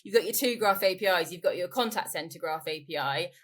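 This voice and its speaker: A woman speaks as an expert guest in an interview. Her voice sits a little lower than usual. She explains in an informal, conversational manner, often restating her point. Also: quick pace, a bit bright. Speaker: interviewee, company growth director.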